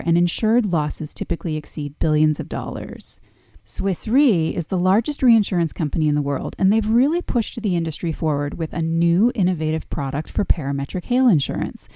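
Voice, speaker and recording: A woman's voice: fairly low pitch, mellow, no echo, clean studio sound. A woman hosting a podcast interview, upbeat and enthusiastic as she introduces her guests. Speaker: podcast host.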